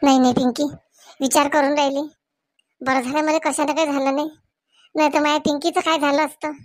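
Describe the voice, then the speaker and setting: A woman's voice: high-pitched, level tone, brisk, no room sound, clean studio sound, lightly acted. A woman voicing a fretful, strict village mother in a comedy cartoon, admonishing her child. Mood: worried. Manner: fretting, admonishing.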